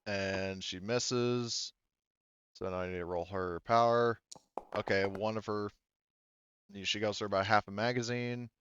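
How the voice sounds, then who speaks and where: Man, game master, low smooth voice, casual narration, hesitant, slightly muffled dry recording. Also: steady tone, measured pace, long pauses, a bit bright, no echo. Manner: hesitant, thinking aloud.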